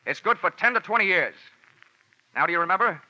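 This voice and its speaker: Hard-boiled man, quick wisecracks, crisp, sharp voice, dull old radio recording with music. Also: sits high, lively intonation. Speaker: insurance investigator and narrator. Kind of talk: 1950s radio drama acting and narration.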